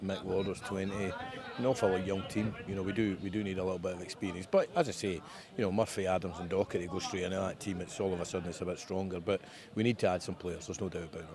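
A man's voice: low-pitched, fairly even intonation, quick pace, crowd noise. A man in his fifties, a football manager giving a frank post-match interview outdoors at a windy ground. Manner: frank, conversational, plain-spoken. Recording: outdoor recording with wind noise.

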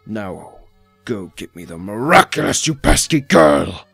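Cartoon villain master for a male actor: slow, gravelly, imperious, wrathful, dry recording. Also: fairly low pitch, very animated, a bit bright, no echo, faint music behind, full character voice. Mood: stern anger. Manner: imperious, threatening. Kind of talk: fan comic dub acting.

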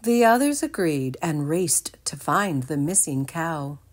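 A woman's voice: low-pitched, animated, a little slow. A woman reading a children's storybook aloud expressively.

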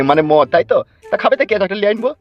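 A man plays a jovial older village father-in-law from a comedy cartoon. His folksy, indulgent talk races along at a slightly high pitch for a man, on a dull, lo-fi recording. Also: animated, quick pace, faint music behind.

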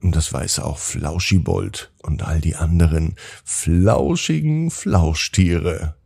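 Man, children's bedtime narrator, mellow dark tone, lively swooping intonation, soothing delivery. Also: deep voice, takes it slowly, gravelly, breathy edge. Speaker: host of children's bedtime story podcast. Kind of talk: gentle bedtime storytelling narration.

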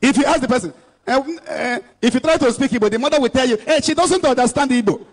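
A man's voice: very high-pitched, fast talker. A Nigerian man in his thirties, an evangelist exhorting an outdoor crowd and switching between Igbo and English into a handheld mic. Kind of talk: preaching at an outdoor church rally. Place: outdoor stage before a large crowd. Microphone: handheld microphone.

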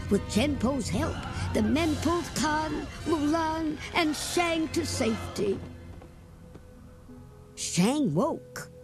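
A woman reading a children's storybook aloud with a lively, expressive delivery. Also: high-pitched, slow, music in the background. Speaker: storybook reader. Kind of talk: read-aloud storybook narration.

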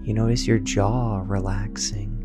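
A man guiding a sleep meditation, speaking slowly and softly and sometimes dropping to a whisper. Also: fairly low pitch, little pitch movement. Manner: slow, soft and soothing, drifting into whispers. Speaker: meditation guide. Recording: dull lo-fi voice over singing bowl music.

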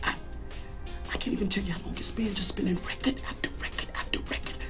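An actor playing a jittery, paranoid American radio disc jockey in a video game, with an urgent, stammering delivery. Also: male voice, high-pitched, fairly even intonation, fast, in a whisper, over music, close to an everyday voice. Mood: hushed, anxious.

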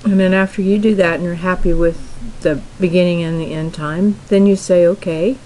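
A middle-aged woman hosting a software tutorial, explaining each step plainly and slowly with frequent fillers. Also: lowish voice, steady tone, a little hiss or hum, poor audio. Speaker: tutorial host. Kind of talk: screen-recorded software tutorial.

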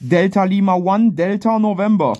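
A man's voice: sits high, normal speed, mellow, muffled recording. A man working amateur radio contest exchanges outdoors, repeating short clipped phrases and callsigns in phonetics. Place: outdoors at a garden picnic table.

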